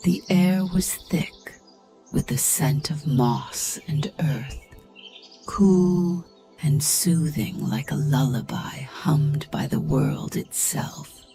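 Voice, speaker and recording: Very low, slow, breathy woman narrator. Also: faint music behind. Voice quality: soft, breathy, half-whispered. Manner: soothing, gentle, measured. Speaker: sleep story and meditation narrator. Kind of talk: guided meditation and bedtime story narration.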